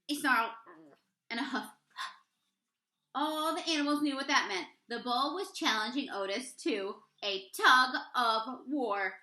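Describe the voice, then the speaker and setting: A woman reading a children's picture book aloud expressively, with deliberate, dramatic emphasis on key words. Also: sits high, bright, forceful tone, reverberant. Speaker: read-aloud storyteller, teacher reading to children.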